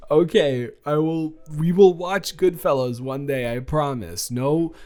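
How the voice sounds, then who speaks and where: A man playing a sweet, playfully teasing young college student in a romantic audio roleplay, speaking casually and haltingly with repeated words. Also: fairly high pitch, a little mellow. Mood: affectionate, playful. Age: young adult.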